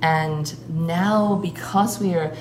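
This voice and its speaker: A woman who works as a shamanic priestess, talking earnestly in a flowing way and pausing often to find her words. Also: low-pitched, steady tone. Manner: earnest, flowing, with frequent filler pauses. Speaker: shamanic priestess and guest speaker. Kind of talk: casual spoken account. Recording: roomy recording with clear background noise.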